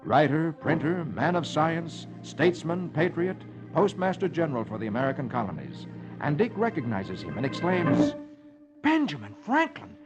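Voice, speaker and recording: A man's voice: fairly high pitch, expressive, moderate pace. A man narrating comic-strip stories as host, with theatrical delivery in 1950s radio style. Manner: theatrical storytelling. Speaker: host reading comics aloud. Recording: muffled old radio recording, music behind. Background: music.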